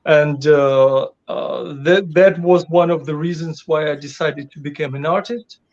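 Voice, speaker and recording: A man from Ukraine speaks haltingly in a fairly high voice, stopping often to restart his sentences. The call audio sounds dull and lo-fi. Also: fairly even intonation, a little mellow. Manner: hesitant, many fillers and restarts. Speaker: guest artist. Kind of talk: casual interview over a call. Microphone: video call.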